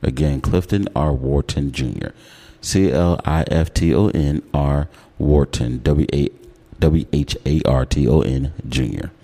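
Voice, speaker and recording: A man with an American accent and a deep voice, hosting a casual, opinionated radio talk show and taking care to enunciate. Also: a little slow, creaky, dark tone. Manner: enunciates words carefully.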